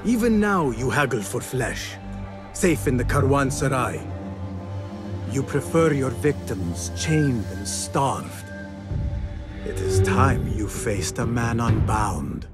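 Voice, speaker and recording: A grave, slow-spoken young assassin. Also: male voice, medium pitch, animated, music in the background. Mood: grim, accusing. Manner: grave, deliberate, accusing. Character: brooding young male assassin, righteous and grave. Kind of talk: dramatic video game acting.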